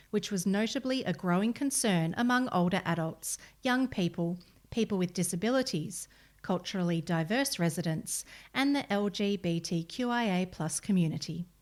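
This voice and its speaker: A woman with an Australian accent reads a scripted local news article as a volunteer narrator. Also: medium pitch.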